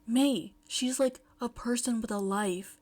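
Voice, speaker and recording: A woman's voice: a little high, a little slow, darkish tone. Exasperated schoolboy, played by a woman, firm, scolding, the sensible classmate in an audio story. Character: exasperated, insistent, principled teenage schoolboy classmate.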